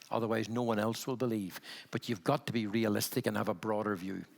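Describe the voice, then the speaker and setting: A man's voice: mid-range voice, vocal fry, long reverb tail. A man in his sixties with a Belfast accent, speaking candidly and wryly on a conference panel, often restarting his sentences. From Northern Ireland. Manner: candid, wry, frequent restarts.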